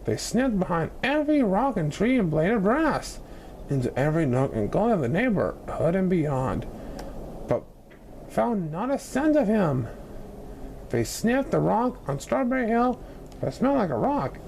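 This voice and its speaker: A man reading a children's storybook expressively, with dramatic, deliberate phrasing. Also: sits high, very animated, creaky, darkish tone, a little hiss or hum, poor audio. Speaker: story reader.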